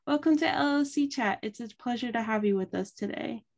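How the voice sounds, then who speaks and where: A woman's voice: fairly high pitch, fairly quick, gravelly. A woman co-hosting a podcast, reading a set welcome and asking the guest polite questions. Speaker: podcast co-host. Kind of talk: scripted podcast intro and interview questions.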